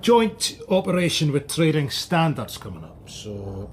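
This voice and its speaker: Radio drama: senior police superintendent, managerial, very varied pitch, slight background noise. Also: male voice, big pitch swings, unhurried. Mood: affable, businesslike. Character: senior male police chief superintendent, the boss.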